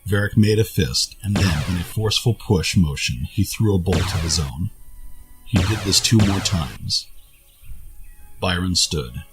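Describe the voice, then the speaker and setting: A man's voice: low voice, lively intonation, unhurried. A man narrating an action audio drama in a scripted storytelling style. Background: music.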